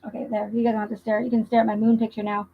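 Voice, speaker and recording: A woman with a fairly high, husky voice hosts a casual livestream. She talks conversationally and emphatically, circling back over her points. The audio is dull and lo-fi. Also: flat delivery, racing. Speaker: livestream host. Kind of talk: casual livestream commentary on a true crime case.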